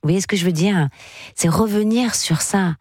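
A woman's voice: medium pitch, moderate pace, a little mellow, no echo. A woman hosting a late-night radio call-in show in a chatty way, talking things through with listeners from a studio microphone.

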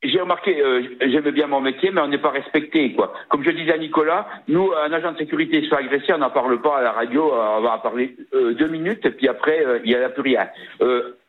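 A man in his sixties from the Vosges with a regional accent, calling in to a radio show and talking hesitantly, with many fillers and restarts. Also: mid-range voice. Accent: Vosges French. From Vosges, France. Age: 60s. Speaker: caller. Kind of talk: phone-in call to a radio show. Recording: tinny phone line.

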